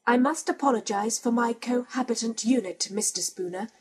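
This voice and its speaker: Man putting on a breathy, nervous female android. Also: very high voice, normal speed, pitched above the performer's own voice. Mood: apologetic. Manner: apologetic, formal.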